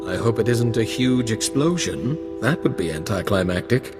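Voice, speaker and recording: Droll, lively young fop of a lord man acting. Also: fairly low pitch, moderate pace, over loud music, natural voice. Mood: dry, flippant. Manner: arch, drawling, wry. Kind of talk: video game voice acting.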